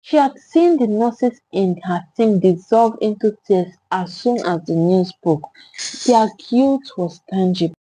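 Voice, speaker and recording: A Nigerian woman narrates a dramatic tale in a mellow, slightly husky voice. Her delivery is solemn and theatrical, shaped as scripted storytelling. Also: medium pitch, lively intonation, a little slow, no echo, rough recording.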